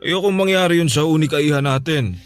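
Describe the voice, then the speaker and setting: A man's voice: fairly high pitch, fast, soft background music, natural voice. An actor playing a sick but good-humored father who teases his daughter, in a radio drama. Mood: teasing. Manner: easygoing, teasing, calm. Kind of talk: Filipino radio drama acting.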